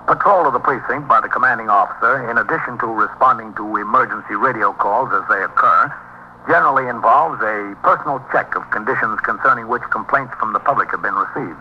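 Male actor as American precinct captain: clipped, official, methodical, mid-pitched; noisy vintage radio sound. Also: lightly acted. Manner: matter-of-fact, clipped, official. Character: police captain, steady, authoritative, methodical precinct commander. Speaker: narrator and lead actor. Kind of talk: 1950s radio police drama acting and narration. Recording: muffled, noisy 1950s radio recording.

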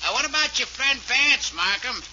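An actor playing a brash, nosy newspaper reporter, slangy and pushy, in 1940s radio drama style. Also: male voice, very high-pitched, animated, moderate pace. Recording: noisy, muffled old radio, off-mic.

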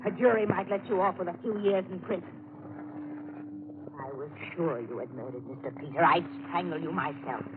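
A woman's voice: on the low side, close to an everyday voice. An actress playing a stern, superstitious older woman, commanding and ominous, in 1940s radio thriller style. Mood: grimly menacing. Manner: slow, commanding, ominous pronouncements. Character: stern, menacing older housekeeper, superstitious and unyielding. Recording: noisy, tinny old radio recording.

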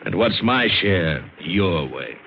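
An actor playing a cynical, hard-boiled American ship's mate, narrating and speaking tersely in a vintage radio adventure drama. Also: male voice, low-pitched, lively intonation, takes it slowly, slight background noise, heavily acted. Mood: tough, demanding. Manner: terse, sardonic, tough-guy delivery. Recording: dull old radio broadcast, loud music.

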